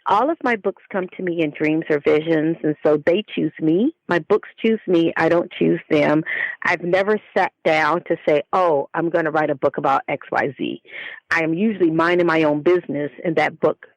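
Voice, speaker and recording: A woman being interviewed as an author, telling her stories in an expressive, conversational way. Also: low-pitched, moderate pace, no echo, muffled recording. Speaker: guest, children's book author.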